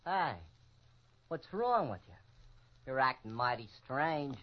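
An actor playing an easygoing, folksy, rustic janitor in an old-time radio drama, given to homespun exclamations. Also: male voice, medium pitch, big pitch swings, slow pace. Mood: puzzled. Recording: dull noisy old radio recording.

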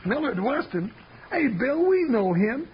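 An actor playing a big, excitable, grumbling cowboy deputy in a 1950s radio western, with a folksy, exclamatory drawl. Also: male voice, very high-pitched, a little slow, heavily acted. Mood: grumbling, curious. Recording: muffled old radio recording with loud noise.

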